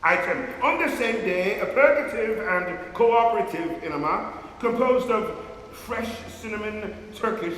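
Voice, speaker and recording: Picture a pompous, self-pitying male hypochondriac in a stage comedy, grumbling and scolding in a high, piercing voice. It rings around a very echoey hall with faint noise behind it. He is querulous and theatrical in his delivery. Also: lively intonation, unhurried, fairly bright tone, far from the mic. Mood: fussy reckoning. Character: fussy, irascible, self-pitying male hypochondriac master of the house.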